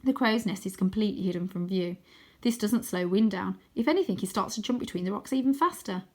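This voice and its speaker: A woman's voice: medium pitch, a little fast, darkish tone. Woman reading a children's adventure story. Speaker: storybook reader. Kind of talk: expressive children's audiobook narration.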